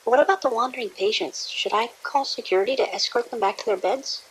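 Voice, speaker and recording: Husky, uneasy hospital nurse played by a woman. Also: fairly high pitch, brisk, poor audio. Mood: relieved, practical. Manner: hesitant, polite reporting. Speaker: actor in an audio drama. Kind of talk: dramatic audio drama acting.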